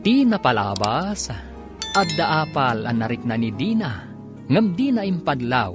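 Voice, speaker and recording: A Filipino man announces and narrates a radio drama with dramatic, swinging intonation. His mid-pitched voice plays over clear background music.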